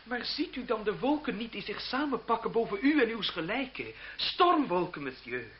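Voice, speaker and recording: An old, muffled radio play recording with faint noise features an idealistic young seminarian. His voice is high for a man and very animated, earnest and declamatory with righteous indignation. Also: moderate pace. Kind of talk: Dutch radio drama acting.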